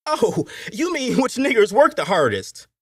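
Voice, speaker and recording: A man's voice: very high-pitched, sing-song, unhurried, husky, no echo, clean studio sound, pitched above the performer's own voice, heavily acted. A man voicing a crude, deferential young plantation overseer with a folksy Appalachian accent, in an audiobook story. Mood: eager, folksy. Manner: folksy, coarse, ungrammatical speech.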